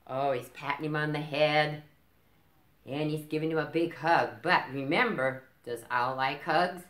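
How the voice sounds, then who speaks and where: Woman, middle-aged, children's story reader; unhurried, slightly low, explanatory, roomy sound. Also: a bit bright. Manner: simple explanations with checking questions. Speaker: library story time reader. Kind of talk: read-aloud picture book storytelling with asides to children. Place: indoors in a curtained room. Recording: roomy, echoing small-room sound.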